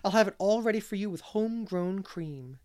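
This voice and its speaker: A reader voicing a wistful, gentle unmarried lady in an audiobook, speaking with soft sighing longing. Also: mid-range voice, expressive, fairly quick, voice pushed up, natural voice. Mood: delighted. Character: wistful, gentle older-ish spinster lady. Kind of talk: audiobook character reading.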